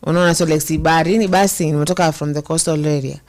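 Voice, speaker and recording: A woman's voice: sits low, fast. A woman with a Kenyan accent in a casual debate, pushing back with questions and murmuring agreement. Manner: gives steady murmured agreement, challenges with questions.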